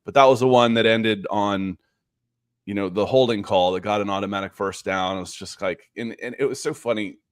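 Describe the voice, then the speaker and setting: A man's voice: lowish voice, a little fast, clean tone, no echo. Man in his fifties, dry close sound. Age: 50s. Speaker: podcast host. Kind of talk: casual live sports talk.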